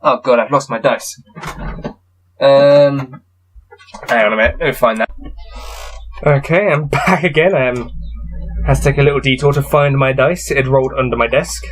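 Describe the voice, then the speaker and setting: A man's voice: medium pitch, normal speed, pauses often, soft background music. A man hosting a casual gamebook playthrough, reading passages aloud and adding wry, self-deprecating asides. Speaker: host playing through a gamebook.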